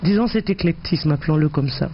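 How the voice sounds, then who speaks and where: A woman's voice: deep voice, fairly quick. A woman hosting a radio interview, probing and pressing her guest with follow-up questions. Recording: dull lo-fi radio broadcast with faint hiss.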